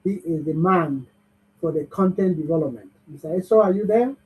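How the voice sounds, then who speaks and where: A man's voice: a little high, moderate pace, darkish tone. A man hosting an online bootcamp, upbeat and cheering the audience on over a video call. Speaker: bootcamp host. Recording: muffled video-call audio.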